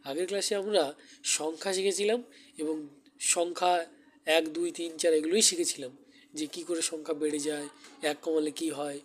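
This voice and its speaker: A man's voice: a little high, steady tone, moderate pace, pauses often, a little hiss or hum. A man speaking as a teacher to a class of young children, asking questions and repeating key words. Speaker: maths teacher giving a demo class. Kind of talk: primary school lesson addressed to children.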